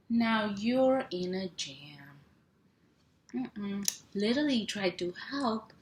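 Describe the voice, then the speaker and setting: A woman reading a picture book aloud to young children in a sing-song, animated way, stretching sound words and asking simple questions. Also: mid-range voice. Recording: roomy echo, clear background noise.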